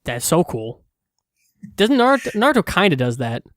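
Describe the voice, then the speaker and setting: A man's voice: high voice, sing-song, normal speed, a bit bright. A man hosting a podcast in casual chat, reacting to a story in informal, slangy language. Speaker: podcast host.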